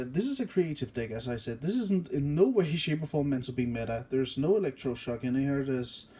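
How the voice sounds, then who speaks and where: Mellow Danish-accented man rambling. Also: on the high side. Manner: rambling, self-correcting, many fillers. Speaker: card game deck reviewer. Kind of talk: casual deck walkthrough.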